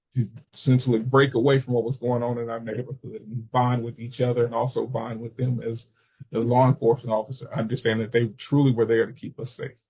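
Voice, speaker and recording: American man presenting a training webinar, slightly husky. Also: mid-range voice, darkish tone, rough recording. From Chicago, United States. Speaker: webinar presenter, substance misuse prevention trainer.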